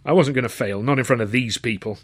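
A man's voice: mid-range voice, fast talker, no room sound. A man reads a first-person comic novel in a mid-range voice. His delivery is scripted, wry and literary in its phrasing. The sound is close and dry, with hardly any room to it. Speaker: author and narrator.

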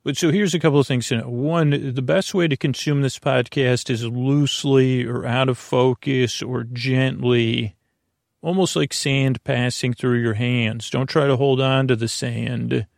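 Male American bedtime podcast host, creaky, fry-heavy voice at medium pitch, soothing, rambling monologue full of stumbles, very dry recording. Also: steady tone, unhurried, no room sound. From Long Island, US. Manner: meandering, tangential, stumbling and mashing words together; lulling, soothing delivery.

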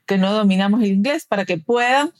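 Female podcast guest, mid-pitched, explanatory and conversational, adds little tag questions. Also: fairly quick. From raised in the United States. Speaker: podcast guest, perinatal educator and director. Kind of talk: conversational interview.

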